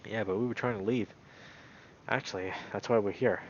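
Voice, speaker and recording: A man's voice: fairly low pitch, steady tone, fairly quick, highs cut off, a little hiss or hum, natural voice. A man voicing a guarded male animal character in a visual novel, terse, evasive and pressing. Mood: guarded, urgent.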